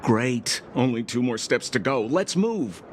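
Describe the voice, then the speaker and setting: A man's voice: mid-range voice, expressive, a little slow, noisy background, close to an everyday voice. A man voicing a breezy, slippery young hustler in a video game, glib and theatrical. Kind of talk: scripted video game voice acting. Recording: loud music behind the voice. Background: game music.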